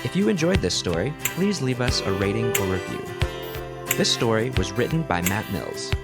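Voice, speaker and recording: A man's voice: on the low side, big pitch swings, normal speed. A man reading a scripted podcast outro as the announcer of story credits. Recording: music playing behind the voice. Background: guitar music.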